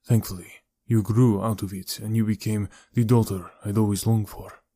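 Casting: male reader as aging, weary uncle in audiobook, low, measured, deadpan, protective, regretful. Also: no echo, natural voice. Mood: solemn, tender. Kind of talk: audiobook character dialogue.

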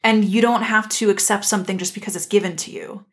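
A woman's voice: mid-range voice, fairly even intonation, fast. A woman with a mid-range voice is co-hosting a casual discussion podcast. She talks in a reflective, inquisitive way, working through ideas as she goes. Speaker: podcast co-host. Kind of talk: casual discussion of an animated series.

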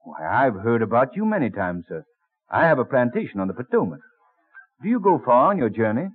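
A dignified, genial colonial gentleman in a vintage radio play. His delivery swings freely in pitch, courteous and earnest, through a thin, narrow old broadcast sound. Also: sing-song, a little fast. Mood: hearty, courteous. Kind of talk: old-time radio drama acting.

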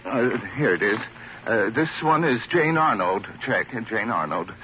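An actor playing a flustered, self-important club official in an old radio drama, hesitating and repeating himself pompously. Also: male voice, on the low side, expressive, measured pace. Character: fussy, self-important, dithering lodge club entertainment chairman. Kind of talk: 1940s-50s radio comic character acting. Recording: dull old radio recording, faint hiss.

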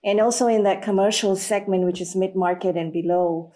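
A woman's voice: medium pitch, fairly even intonation, brisk, mellow. A middle-aged woman, a guest candidly telling stories about her career in an interview. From Malaysia. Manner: candid, anecdotal, frequent fillers. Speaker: guest, sales executive. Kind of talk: storytelling in an interview.

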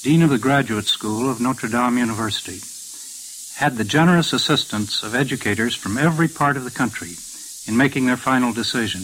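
An American man, a professor, gives a measured, formal prepared address on a hissy 1940s radio broadcast. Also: mid-range voice, fairly bright tone, rough recording. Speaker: professor, scholarship committee chairman.

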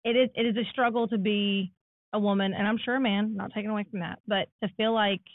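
A woman in her thirties with a naturally raspy voice, co-hosting a casual podcast chat. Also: medium pitch, fairly even intonation, fast. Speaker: podcast co-host. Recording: dry, phone-like lo-fi sound.

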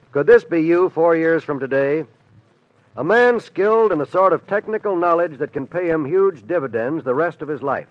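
A man's voice: a little high, no echo. A man reading a mid-century radio advertisement as an announcer, with an earnest, emphatic sales delivery. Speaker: commercial announcer. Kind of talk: 1950s radio advertisement read. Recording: dull old dry radio recording.

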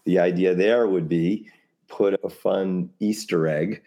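A man's voice: deep voice, steady tone, takes it slowly, muffled recording. A man talking casually as a podcast guest, brainstorming ideas in a rambling way with jokey asides. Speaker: podcast guest, creative compliance consultant.

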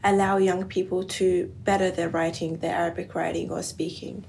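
A woman's voice: on the low side, level tone, normal speed, gravelly, a little mellow. Young woman interviewer, faint background hiss. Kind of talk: casual interview.

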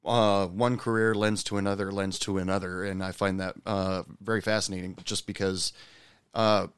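A man's voice: low voice, little pitch movement, normal speed, clear, resonant tone, no echo, clean studio sound. A male host asking questions and musing in a loose, rambling way with frequent fillers. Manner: rambling, many fillers and restarts. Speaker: interviewer, host. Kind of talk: casual interview chat.

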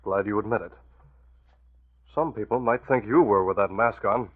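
A commanding masked hero from a 1940s radio serial, played by a male actor. His voice is low and husky, controlled and firm. The recording is old and muffled, with a thin band. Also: normal speed, close to an everyday voice. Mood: firm, menacing. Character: masked vigilante hero, calm, commanding man. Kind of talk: 1940s radio drama acting.

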